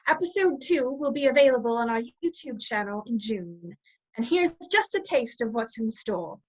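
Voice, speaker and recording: Slightly high-voiced woman narrator. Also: lively intonation, moderate pace, rough recording. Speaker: announcer, narrator. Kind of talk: scripted radio play narration.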